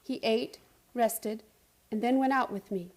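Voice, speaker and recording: A woman's voice: on the high side, a little slow, a little mellow. A woman acting in a radio play as an anxious, caring character who narrates and speaks in an earnest, hesitant, emotionally heightened way. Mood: troubled narrating. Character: anxious, caring young woman recounting a strange event.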